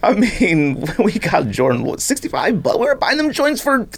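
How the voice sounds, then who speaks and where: Very animated, slangy podcast co-host. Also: medium pitch, normal speed, husky. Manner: slangy, animated, repeats phrases for emphasis. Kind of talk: casual sneaker chat.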